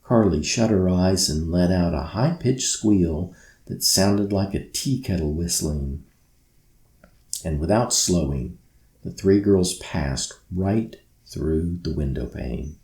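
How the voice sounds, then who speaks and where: A man narrates a children's storybook in a low register. He takes his time with each phrase, and the room around him adds a noticeable echo. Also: slow pace, a little mellow. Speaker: author reading own book aloud. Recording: roomy echo.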